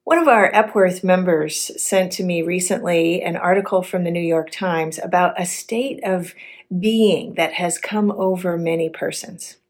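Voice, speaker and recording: A woman's voice: on the low side, fairly even intonation, unhurried. Female pastor preaching a reflective sermon.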